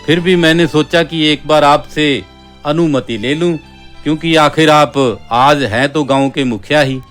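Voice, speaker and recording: A man's voice: fairly high pitch, measured pace, fairly bright tone. A man playing a stubborn, self-important holy man in a cartoon story, with an insistent, declamatory delivery. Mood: self-assured. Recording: background music.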